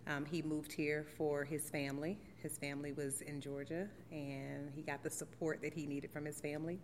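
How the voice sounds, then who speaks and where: A woman in her forties with a notably low voice speaks in a steady, reflective way, often pausing on an um. She is from St. Louis and is telling her life story in an oral history interview. Her voice carries a long reverb from a theater hall, with faint noise underneath. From St. Louis, Missouri, USA. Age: 40s. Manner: reflective, steady, with frequent ums. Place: theater venue.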